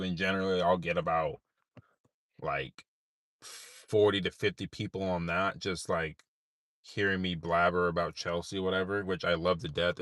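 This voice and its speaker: A tired man with a deep voice, rambling in a laid-back way with many fillers while livestreaming from his parked car at night. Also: fairly bright tone, no room sound, studio quality. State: tired, unable to sleep. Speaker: streamer. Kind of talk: late-night livestream chat.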